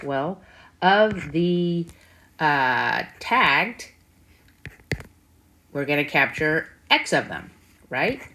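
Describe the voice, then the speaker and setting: A woman's voice: sits low, bright, forceful tone, poor audio. A woman teacher giving an informal math tutorial, thinking aloud and often checking in with short questions. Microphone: screen-recording voice-over. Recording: faint background noise with clicks.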